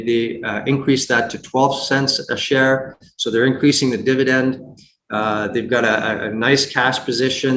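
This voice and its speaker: Male investor guest, mid-pitched, stop-start analysis, roomy sound with faint noise. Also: a bit bright. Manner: halting, with frequent repeats and false starts. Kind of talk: unscripted earnings call commentary.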